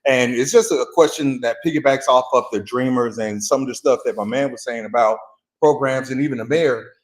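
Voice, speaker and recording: A man's voice: mid-range voice, a little fast. A man hosting a podcast, posing an informal question to a panel at a live event. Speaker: podcast host. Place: room at a live community event. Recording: echoey live room.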